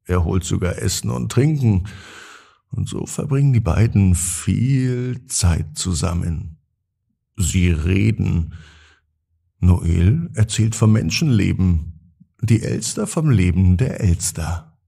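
A man narrating a scripted bedtime story for children in a gentle, drawn-out, expressive way. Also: low voice, gravelly, husky.

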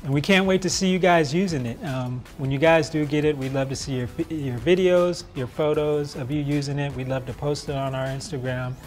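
Guy in his 30s, bit higher voice, chatty upbeat product pitch w some music behind. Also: brisk, few pauses. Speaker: product inventor, mechanical engineer. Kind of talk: casual promotional interview talk. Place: large open office, indoors. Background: background music.

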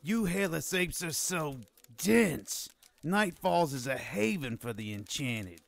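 Radio drama: sarcastic, contemptuous non-human informant played by a man, higher-pitched and very animated. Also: slow pace, gravelly, dry recording, full character voice. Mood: scornful, mocking. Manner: sarcastic, condescending.

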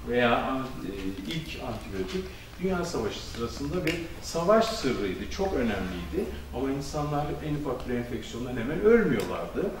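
A man's voice: medium pitch, big pitch swings, noisy background. Middle-aged male doctor lecturing at a symposium, handheld mic, heavy hall echo, mic far off. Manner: measured lecturing with frequent hesitation fillers. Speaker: conference speaker, physician. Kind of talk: academic symposium talk. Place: conference hall. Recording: echoey hall, distant camera audio.

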